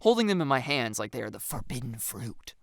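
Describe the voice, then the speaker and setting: Female audiobook narrator: very low pitch, animated, expressive first-person storytelling. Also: a little fast, background noise.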